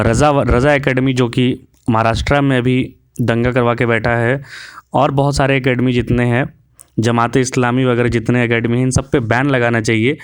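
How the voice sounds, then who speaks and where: Clear-voiced man, dry close recording. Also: medium pitch, no room sound. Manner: preachy, mixes in English words. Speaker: video commentator. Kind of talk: opinionated monologue commentary.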